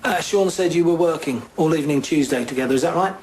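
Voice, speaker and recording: An actor playing a dry, sardonic London police detective in an audio drama, talking conversationally. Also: male voice, a little high, fast, darkish tone, husky. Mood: probing. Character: wry, seasoned London police detective, dryly needling.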